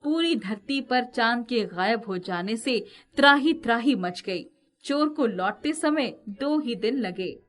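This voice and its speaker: A woman's voice: a little high, animated, slow pace, fairly bright tone. A woman narrating a scripted moral tale as the storyteller.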